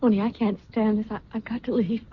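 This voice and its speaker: On a dull old radio recording, a caring young daughter in distress. Her voice is fairly high and very husky, with an anxious, earnest drama delivery. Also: steady tone, fast. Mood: alarmed.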